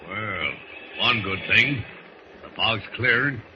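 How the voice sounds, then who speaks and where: An actor playing a rough, bullying sailor with a gruff, menacing manner in an old-time radio drama. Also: male voice, fairly low pitch, takes it slowly. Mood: guarded, agreeable. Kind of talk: 1940s radio acting. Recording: dull, very noisy old radio recording.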